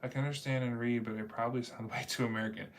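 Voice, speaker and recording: A man talking in a relaxed, rambling way as he hosts a casual livestream, filling his sentences with small asides. His voice sits at an ordinary middle pitch for a man. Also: rapid-fire. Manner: rambling and chatty, many fillers. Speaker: livestream host reading chat. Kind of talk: casual chat.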